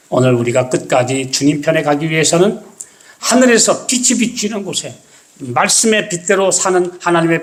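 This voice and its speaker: A man preaching with a voice pitched a little above the usual male range. He delivers his sermon as a pastor, leaning into his words and stretching out phrases for emphasis. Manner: emphatic storytelling with dramatic slow stresses.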